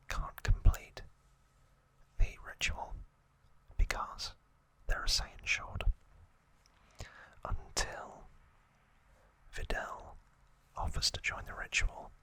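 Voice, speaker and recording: A man speaks entirely in a breathy, crisp-edged whisper as an ASMR host. He goes very slowly through a story recap, breaking it into short hushed phrases with gentle pauses.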